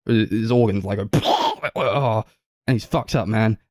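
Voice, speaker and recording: A man co-hosting a casual film review chat, rambling through animated retellings with mock quotes and swearing. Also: on the low side, takes it slowly, dry recording, clean studio sound. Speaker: podcast co-host.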